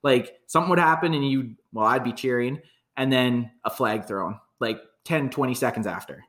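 A man's voice: medium pitch, normal speed, a bit bright. A man from Canada chatting casually about football, frank and rambling, swearing freely. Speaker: podcast co-host. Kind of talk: casual sports chat.

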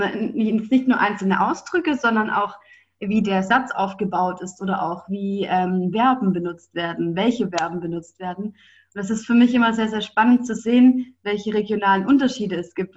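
A woman's voice: mid-range voice, highs cut off. A young German woman, a podcast guest, speaking fluently and thoughtfully. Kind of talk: casual interview.

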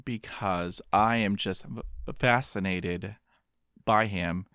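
Guest, man in his fifties, stutters, motivational, slow and deliberate, dry close sound. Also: lowish voice, fairly bright tone, clear, resonant tone, no echo. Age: 50s. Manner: stutters with repeated sounds and blocks; upbeat, motivational, repeats phrases for emphasis. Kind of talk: interview conversation.

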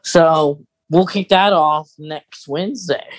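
A man co-hosting a podcast in casual chat, measured and thinking aloud as he goes. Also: a little high. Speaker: podcast co-host.

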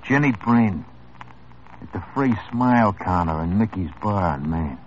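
A deep-voiced wry hoodlum. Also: male voice, animated, a little slow, slight background noise. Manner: tough, wry, world-weary. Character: sardonic small-time male hoodlum. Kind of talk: 1950s radio drama acting. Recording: muffled old radio broadcast.

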